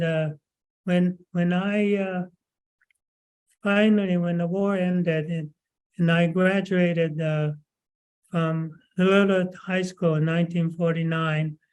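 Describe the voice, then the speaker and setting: A man in his nineties from Canada, recalling his childhood in a slow, halting way with many pauses and fillers. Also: a little high, darkish tone, smooth, poor audio. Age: 90s. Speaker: webinar speaker. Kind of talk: recalled life story.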